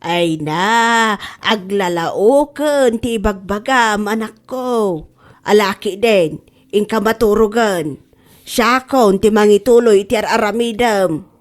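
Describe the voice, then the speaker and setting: A woman's voice: medium pitch, slow, close to an everyday voice. A woman playing a caring, fretful older mother in a radio comedy-drama. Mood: gently fretful.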